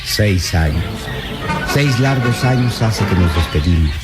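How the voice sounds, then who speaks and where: An actor playing an elderly, idealistic professor in a radio serial, earnest and formal. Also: male voice, on the low side, expressive, unhurried, over loud music. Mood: wistful, anguished. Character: elderly idealistic male professor, principled, longing father. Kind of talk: old radio serial acting.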